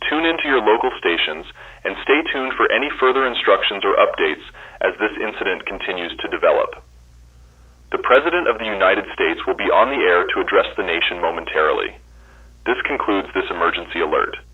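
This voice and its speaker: A grave official alert announcer, played by a man, with a low, rough-edged voice. He reads formal warnings deliberately in a scripted broadcast style. The sound is thin and radio-filtered. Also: animated. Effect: radio transmission filter. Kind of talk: scripted emergency broadcast reading. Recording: tinny, muffled emergency radio transmission.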